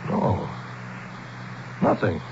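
An American actor playing a weary, rattled commuter husband in a 1970s radio drama, both narrating and speaking with hesitant, exasperated politeness. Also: male voice, very slow, noisy background. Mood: resigned, tense. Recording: dull 1970s radio broadcast, music behind.

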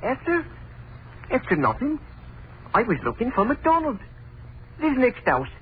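A man's voice: extremely high pitch, wildly swooping pitch, a little slow, narrow, tinny audio, exaggerated voice. A man playing a nervous, defensive witness being questioned, in an old radio drama. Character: jittery male neighbor questioned by police. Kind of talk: 1940s radio acting. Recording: scratchy, thin old radio broadcast.

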